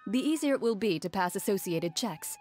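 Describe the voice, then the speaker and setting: Woman voicing a polite rules-explainer in a game, even middle voice, faint music. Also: mid-range voice, fairly quick, natural voice. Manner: formal, instructive.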